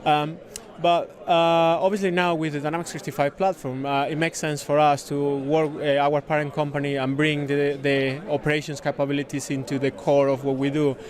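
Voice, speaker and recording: A man from Spain with a Spanish accent, a native Spanish speaker, explaining a product in a casual interview with halting, repeated words. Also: a little high, little pitch movement. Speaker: software consultant demonstrating a product, interviewee. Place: busy conference venue.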